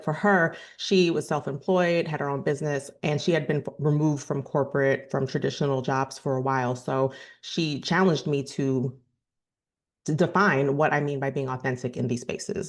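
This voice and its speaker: A woman in her thirties with a deep register, earnestly telling a personal story to camera and often correcting herself mid-sentence. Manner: earnest, thoughtful, with frequent self-corrections and "you know". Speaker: vlogger.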